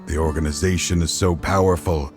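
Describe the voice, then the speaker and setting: Over clear background music, a weary middle-aged father with a very low voice. He is a grave, remorseful official in a game drama, resolute in his halting delivery. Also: fairly even intonation. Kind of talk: dramatic video game dialogue.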